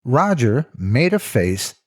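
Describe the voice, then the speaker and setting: A man's voice: medium pitch, big pitch swings, slow pace, a little mellow. A man narrating a scripted story in a theatrical, mock-serious storytelling style. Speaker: narrator of horror stories.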